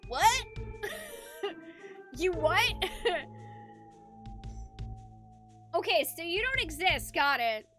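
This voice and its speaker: A woman from the United States, chatty and expressive, livestreaming as she talks with viewers and reads game narration aloud. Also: extremely high pitch, drawn-out words, pauses often, faint music behind. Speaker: game streamer. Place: indoors, game livestream with music behind.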